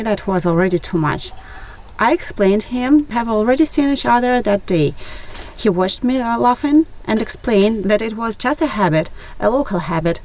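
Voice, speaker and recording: Young woman reading calmly. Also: fairly high pitch, a little hiss or hum, poor audio. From Russia. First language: Russian. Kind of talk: read-aloud scripted conversation.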